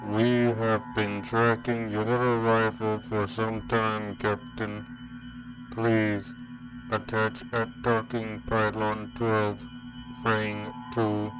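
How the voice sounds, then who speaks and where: Man playing a monotone alien station controller. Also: fairly low pitch, slow pace, music in the background. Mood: impassive, official. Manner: flat, official. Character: formal, impassive Cardassian space station controller. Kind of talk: sci-fi radio drama acting.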